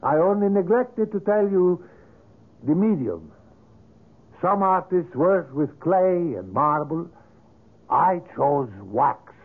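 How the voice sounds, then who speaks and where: An actor playing an evasive, self-justifying male wax sculptor in a 1970s radio drama, with a measured, formal, placating manner. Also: high voice, animated, husky, full character voice. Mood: smoothly defensive. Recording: muffled old radio recording.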